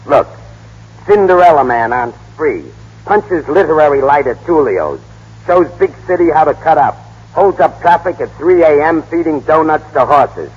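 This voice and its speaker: A man's voice: fairly high pitch, expressive, normal speed, breathy edge. A man playing a bossy, exasperated press minder in an old radio drama, blunt and urgent. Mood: exasperated, firm. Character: brusque, exasperated fast-talking press minder. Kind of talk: 1930s-40s radio acting. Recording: muffled old radio broadcast, cavernous reverb.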